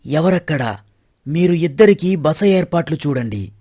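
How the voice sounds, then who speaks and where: A formal royal minister acted by a man speaks in a mellow, slightly high voice. He is respectful and declamatory, a dutiful courtier from a storybook drama, recorded dry. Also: lively intonation, moderate pace, dry recording. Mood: commanding. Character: deferential, formal royal minister praising and presenting candidates. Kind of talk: dramatized moral story acting.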